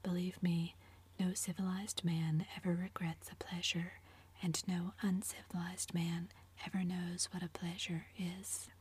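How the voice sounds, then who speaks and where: A woman whispering the lines of a languid, witty aristocratic gentleman in a storybook reading, in a low, flat hush. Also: a little slow, breathy edge. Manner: whispered, epigrammatic, drawling.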